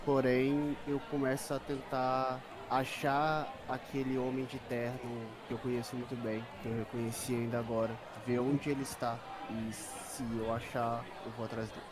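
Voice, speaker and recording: A Brazilian man playing a tabletop RPG, describing his character's actions in halting, casual first person. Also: mid-range voice, level tone. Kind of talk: improvised first-person roleplay in casual talk.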